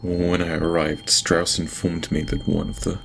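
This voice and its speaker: An actor playing a guilt-ridden lord in an audio drama, dictating a private log in halting, stammering speech broken by sighs. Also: male voice, deep voice, completely flat delivery, gravelly, slight background noise. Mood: shaken. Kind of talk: audio drama acting, personal log monologue. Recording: music behind the voice. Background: music.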